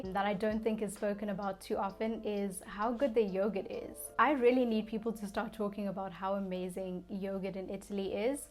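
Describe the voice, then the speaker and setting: A young woman from Zimbabwe talking casually to camera in a vlog, chatty and conversational with lots of "you know" and "like". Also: medium pitch. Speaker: vlogger.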